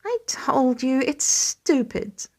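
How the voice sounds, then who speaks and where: A woman's voice: high-pitched, sing-song, takes it slowly, mellow, exaggerated voice. A woman voicing a frustrated teenage boy who grumbles and mumbles in a narrated story. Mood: dejected, defensive. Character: sulky, frustrated teenage boy, caring older brother.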